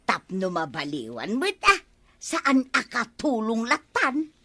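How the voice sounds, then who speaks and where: A woman playing a sharp-tongued working wife in a comic radio drama, nagging her husband with quick retorts. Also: fairly high pitch, huge pitch swings, heavily acted.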